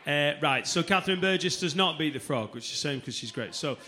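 A man's voice: on the high side, animated, fairly quick, pushed and bright, a little hiss or hum. A man hosting a live comedy night, projecting to the room as he introduces acts. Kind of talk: live stage compering. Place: live comedy club stage.

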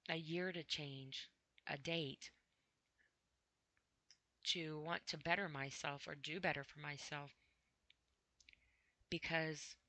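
A tired woman podcasting alone, rambling in a halting, apologetic way with many fillers after crying earlier. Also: low-pitched, bright, forceful tone, dry recording. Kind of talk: casual rambling monologue. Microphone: computer mic with headphones on.

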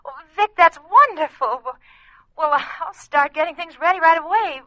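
An actress playing an eager, delighted, slightly flustered wife in a 1940s radio drama. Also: female voice, very high voice, expressive, normal speed, bright, forceful tone, dry recording, exaggerated voice. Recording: dull old radio recording.

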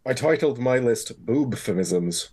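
A man's voice: medium pitch, animated, a little slow, darkish tone, slightly rough. A man playing a tabletop game, moving between casual narration and theatrical in-character lines.

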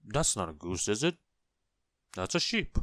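A man voicing a forgetful, good-natured storybook gentleman who is hesitant, muddled and easily flustered. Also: fairly low pitch, expressive, normal speed, no room sound, studio quality, close to an everyday voice.

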